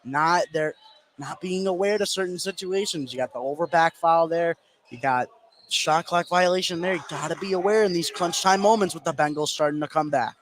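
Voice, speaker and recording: A man with a slightly high voice gives live color commentary on a basketball game. Clear gym noise surrounds him on the broadcast. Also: a little fast.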